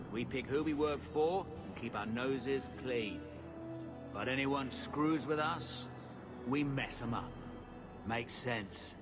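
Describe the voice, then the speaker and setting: An actor playing a blunt, rough, plain-spoken male mercenary captain in a video game. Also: medium pitch, close to an everyday voice. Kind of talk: video game cutscene acting.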